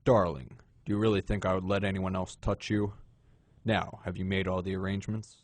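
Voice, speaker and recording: Man playing a low, suave gentleman gangster. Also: fairly even intonation, dry recording. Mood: smooth, self-assured. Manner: unhurried, courtly, condescending. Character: suave, menacing gentleman crime boss. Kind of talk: crime audio drama acting.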